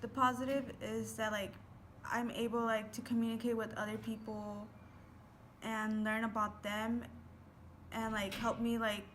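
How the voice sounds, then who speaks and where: Young female first-year student, earnest, slightly high pitch. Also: flat delivery, unhurried, fairly bright tone, slight background noise. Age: young adult. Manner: earnest, uses like often. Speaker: student interviewee. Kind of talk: casual interview answers.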